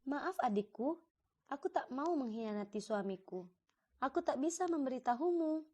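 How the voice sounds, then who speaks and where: A woman's voice: sits high, expressive, moderate pace, dark tone. A woman playing a prince's loyal, conflicted wife and older sister in a cartoon folktale. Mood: reluctant. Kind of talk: acted dialogue in a cartoon folktale.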